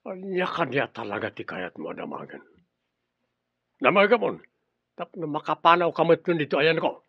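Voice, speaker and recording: An actor playing a ruthless, short-tempered, greedy crime boss in a radio drama, domineering and mocking. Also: male voice, on the high side, very animated, moderate pace, creaky, breathy edge, no room sound, exaggerated voice. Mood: irritated. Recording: dull lo-fi audio with faint hiss.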